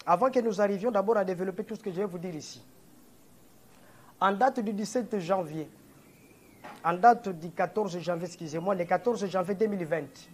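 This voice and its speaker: A man's voice: sits high, darkish tone. Earnest Congolese man addressing the press. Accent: Congolese French. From DR Congo, Kongo Central. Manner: earnest, often correcting and repeating himself. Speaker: political movement leader. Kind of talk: press conference statement.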